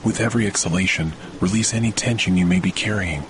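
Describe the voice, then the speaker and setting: A man hosting a guided sleep meditation, speaking in a slow, soothing way with long pauses. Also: deep voice, noisy background. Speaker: podcast host and meditation guide. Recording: loud rain and thunder behind voice. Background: rain and thunder sounds.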